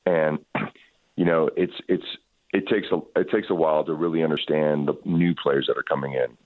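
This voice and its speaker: A man, a soccer coach, answering radio interview questions in a halting, thoughtful way, often repeating words as he works out his point. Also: rumbling low, steady tone, dry recording. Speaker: professional soccer head coach. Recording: muffled narrowband phone line.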